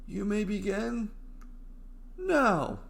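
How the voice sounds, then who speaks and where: A man voicing a stern, aloof professor in an audiobook short story, giving slow, drawn-out commands. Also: high-pitched, sing-song, creaky, a bit bright, pitched above the performer's own voice, exaggerated voice. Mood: stern, formal.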